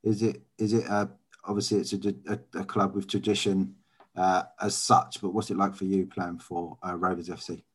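Husky low-voiced man hosting a chat. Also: little pitch movement, normal speed. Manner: friendly interviewer, stumbling starts. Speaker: host. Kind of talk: casual panel chat.

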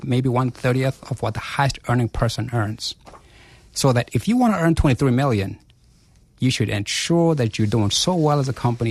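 A man, a guest in a radio interview, arguing forcefully with rhetorical questions and repeated phrases. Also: medium pitch, slightly rough. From South Africa. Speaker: chief investment officer, guest.

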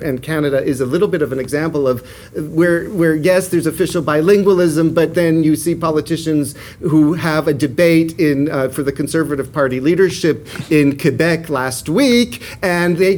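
Male lecturer, fairly high voice, stop-start delivery, faint room hiss. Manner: halting, full of restarts and fillers; animated, rising and falling pitch. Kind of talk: academic lecture.

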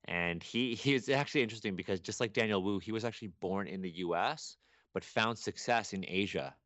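A young man from Canada, a guest chatting casually on a podcast, rambling and animated with many restarts. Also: on the low side, brisk, no echo. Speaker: guest archaeologist.

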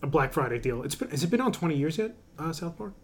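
Casting: male podcast co-host, slightly high voice, very quick delivery, casual and profane. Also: very animated, slight background noise. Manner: joking, profane. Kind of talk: casual banter.

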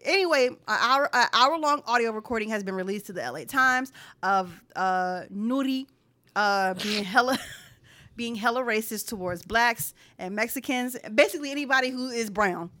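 A woman's voice: a little high, expressive, moderate pace, sharp tone. A woman co-hosting a casual news chat, leading into the next story in a chatty, easygoing way. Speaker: podcast co-host.

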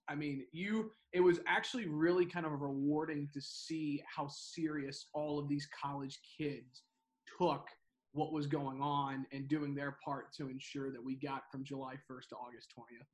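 A young man chats casually on a sports podcast. His voice sits a bit high. He rambles and circles back with lots of fillers and restarts. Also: steady tone, normal speed, low-quality recording. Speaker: baseball broadcaster, co-host.

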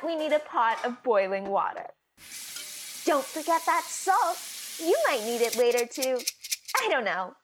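A woman's voice: high voice, fairly bright tone, a little hiss or hum, full character voice. A woman playing a bitter, scheming housewife who hosts a cooking show in a comedy radio play, mock-cheerful and sardonic.

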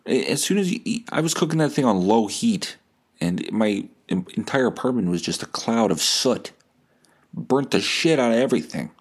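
A man's voice: medium pitch, darkish tone. A young man hosting a casual, rambling solo podcast in a profane, slangy, self-deprecating way. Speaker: podcast host.

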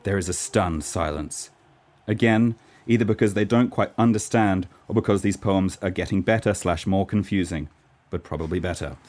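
A man's voice: sits low, moderate pace, darkish tone. A man from Norway giving scripted narration for a radio play. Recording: clear background noise.

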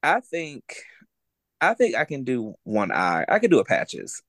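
Man co-host, mid-pitched and animated, relaxed and joking, dry sound. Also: measured pace, no room sound. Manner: laid-back, joking. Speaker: podcast co-host. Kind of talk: casual group chat.

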